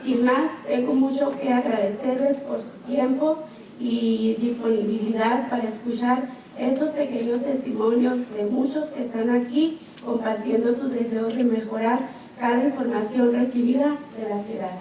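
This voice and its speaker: A woman's voice: fairly high pitch, fairly even intonation, normal speed, dark tone. A woman, a chaplain leader, giving earnest prepared public testimony at a city hearing with slight hesitations. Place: public meeting hall. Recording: very echoey hall, distant mic, noise.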